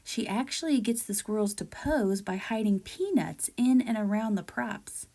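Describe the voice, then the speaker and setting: A woman reading a children's picture book aloud in a deliberate, expressive storytelling manner. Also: medium pitch. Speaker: read-aloud storyteller, teacher-like presenter.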